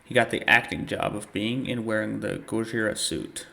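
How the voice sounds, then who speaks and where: Gravelly low-voiced man giving reaction commentary. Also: normal speed, pushed and bright. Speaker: reaction video host. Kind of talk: casual reaction commentary, reading captions aloud.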